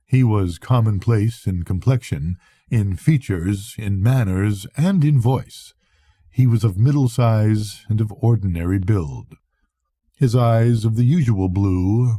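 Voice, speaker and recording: An older man reads a classic novel aloud as a storyteller, in a measured, reflective bedtime manner with a soft, husky voice. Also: lowish voice, no room sound, clean studio sound. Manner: measured, reflective first-person narration. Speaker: storyteller reading to grandchildren. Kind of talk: expressive bedtime audiobook reading of a classic novel.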